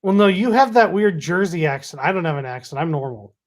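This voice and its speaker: A man's voice: on the high side, lively intonation, brisk. A man, hosting a casual chat and teasing his co-host. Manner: easygoing, teasing.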